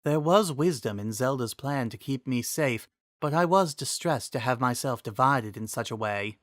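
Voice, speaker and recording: A man voicing a gentle, wise female spirit of a magical relic, soft, calm and reassuring, in a fantasy storybook reading. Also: medium pitch, moderate pace, no echo, studio quality, lightly acted. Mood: grateful, serene.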